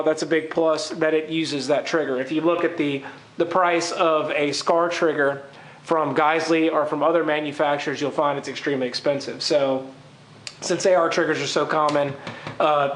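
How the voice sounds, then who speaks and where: The recording is noticeably roomy and echoey. A man with a fairly high voice, congested from a cold, talks through an informal video review. He explains things in technical detail. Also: a bit bright, a little hiss or hum. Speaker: gun reviewer. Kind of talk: informal product review to camera.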